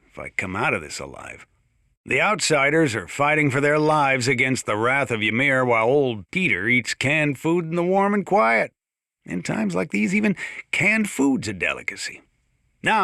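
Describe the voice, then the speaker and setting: An actor playing a folksy, sardonic older small-town American sheriff, drawling wry tales and warnings in a video game radio monologue. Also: male voice, medium pitch, pushed and bright, no echo, studio quality. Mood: sardonic, advising.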